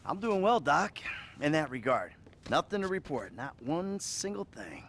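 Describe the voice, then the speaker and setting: A man's voice: fairly high pitch, very animated, normal speed, a bit bright, a little hiss or hum. An actor playing a troubled middle-aged American criminal confessing to his therapist, hesitant, sighing and sardonic, in a naturalistic video game style. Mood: defensive.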